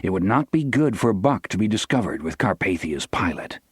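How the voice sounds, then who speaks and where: A man narrates a dramatic novel in a fairly low voice. The reading is scripted and recorded close, with almost no room sound. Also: very animated, moderate pace, husky, no room sound. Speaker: audiobook narrator.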